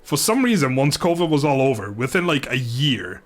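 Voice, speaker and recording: A man livestreaming a video game, chatting informally and thinking aloud in a halting, casual way. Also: mid-range voice, big pitch swings. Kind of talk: casual livestream chat over gameplay.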